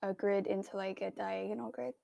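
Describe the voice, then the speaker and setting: A woman's voice: medium pitch, fairly even intonation, fairly quick, gravelly, warm tone, no echo, clean studio sound. A woman chatting casually in a group voice call, asking curious questions and laughing easily.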